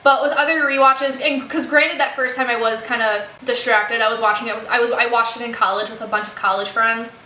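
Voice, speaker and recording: Bright, chatty young American woman. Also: fairly high pitch, fairly even intonation, fairly quick, hardly any pauses. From United States. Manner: chatty, upbeat, laughs easily. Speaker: YouTube creator. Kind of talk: casual chatty video commentary. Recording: echoey room with faint background noise.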